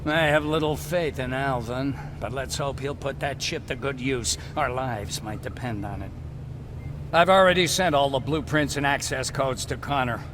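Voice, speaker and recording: A man's voice: mid-range voice, moderate pace, natural voice. A man acting a video game role as an earnest resistance scientist, fervent yet resigned. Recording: clearly noisy game soundscape.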